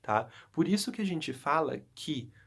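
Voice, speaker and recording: A man's voice: a little high, expressive, measured pace, creaky, fairly bright tone, husky. Brazilian male teacher explaining a lesson. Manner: explanatory, frequent tag questions. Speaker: Portuguese teacher. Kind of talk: recorded class lesson.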